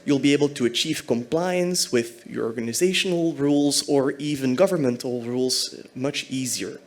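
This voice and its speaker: A man from Belgium giving a conference talk as a senior technology architect, explaining fluently. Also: a little high.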